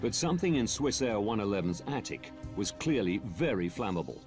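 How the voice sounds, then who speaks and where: A man narrates a documentary in a measured, grave way, his low voice moving through a lively range. Music plays clearly underneath him. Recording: music bed behind narration.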